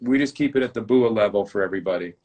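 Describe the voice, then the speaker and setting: A man's voice: on the low side, very fast, darkish tone. Man, interview host, effusive, enthusiastic, casual talk.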